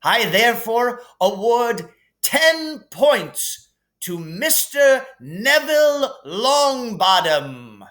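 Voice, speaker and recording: A man voicing an elderly, wise and kindly wizard headmaster with a stately, deliberate delivery, as in a storybook reading. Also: very high-pitched, sing-song, fairly bright tone, pitched above the performer's own voice, full character voice. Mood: wise, grand.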